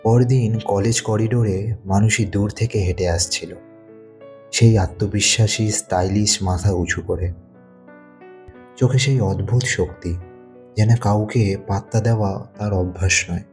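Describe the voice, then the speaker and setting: A man's voice: on the low side, fairly even intonation, a little slow, darkish tone. A man narrating a scripted thriller audio story. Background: faint music.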